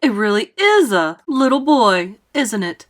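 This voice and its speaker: A woman's voice: mid-range voice, animated, normal speed, pushed and bright. A woman voicing a bossy, easily alarmed male fire chief in a storybook, exclaiming and blustering. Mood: astonished.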